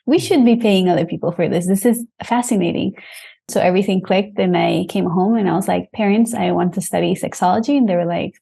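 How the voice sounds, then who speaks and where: A woman from Costa Rica speaks as a sexologist guest on a podcast, her mid-pitched voice full of vocal fry. She talks casually and upbeat, explaining things plainly. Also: a little fast, mellow. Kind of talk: casual interview talk.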